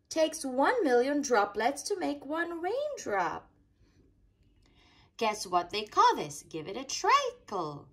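Slow, lively, high-voiced woman reading aloud. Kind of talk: children's picture book read-aloud, rhyming verse.

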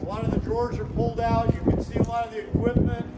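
A middle-aged man giving a guided walking tour, explaining things in a steady, informative way with friendly asides. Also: very high voice, fast. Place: outdoors at a demolished factory site. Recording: loud outdoor background noise.

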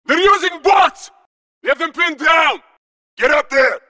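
Fierce enemy trooper, shouting, extremely high for a man, drawn-out piercing yells, shooter game. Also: full character voice. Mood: fierce, urgent. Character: fierce enemy soldier yelling battle cries. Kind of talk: military game combat barks.

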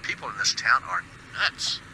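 An actor playing a wry male radio producer making quick asides to the host. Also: mid-range voice, lively intonation, bright, forceful tone, a little hiss or hum, rough recording. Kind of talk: video game voice acting.

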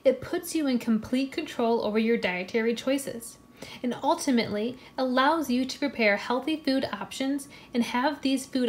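A young woman with a slightly high voice gives an upbeat, clearly structured how-to talk in a home kitchen. She is recorded from a distance by a camera, so the room sounds echoey and a bit far off, with faint room ambience behind her. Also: slight background noise. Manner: upbeat, clear and instructive, gesturing with her hands. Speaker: fitness and nutrition presenter. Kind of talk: scripted how-to presentation.

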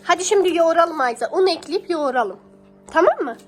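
A woman's voice: very high-pitched, expressive, fairly quick, a little hiss or hum. A young woman, a mother talking casually and affectionately to her small child, encouraging with pet names and repeated phrases. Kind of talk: casual coaxing talk to a small child while baking.

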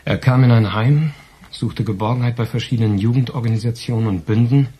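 An actor playing a dry, ironic, officious police detective in a radio crime drama, with a husky voice. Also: male voice, mid-range voice, fairly quick, warm tone, slight background noise, lightly acted. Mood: officious reading. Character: sardonic male police detective questioning a witness. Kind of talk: 1980s German radio crime drama acting.

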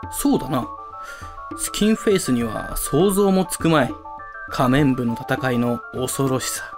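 A man acting an eccentric, theatrical high school club president in an amateur voice drama, with pompous, dramatic pauses. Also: lightly acted. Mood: ominous, grandiose. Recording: music under the voice.

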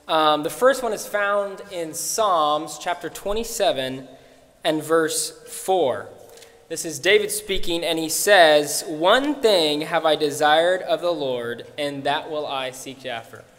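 A young man speaking at a church pulpit, praying and sharing a short devotional in an earnest, halting way. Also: a little high, a bit bright. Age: young adult. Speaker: worship leader. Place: church sanctuary, at a wooden pulpit. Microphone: pulpit mic. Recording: long reverb of a church hall.

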